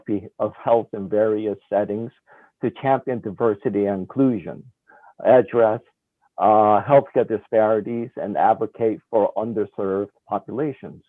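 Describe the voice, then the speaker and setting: A man at the front of a town panel introducing the next guest in a low, dry-sounding voice, halting, slipping in a joke. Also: no echo, narrow, tinny audio. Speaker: event moderator introducing speakers. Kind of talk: hosting a community discussion, introductions.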